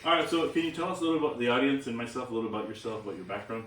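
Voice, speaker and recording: A man's voice: mid-range voice, animated, fairly bright tone, reverberant, recorded from a distance, a little hiss or hum. A man interviewing a guest, eager and repetitive in his questions. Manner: quick, eager, repeats himself when asking. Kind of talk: casual interview.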